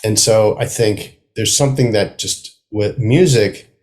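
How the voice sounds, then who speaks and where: Male playwright guest, lower-pitched, conversational, searches for words as he goes. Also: darkish tone. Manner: halting, thinking aloud. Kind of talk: casual interview conversation.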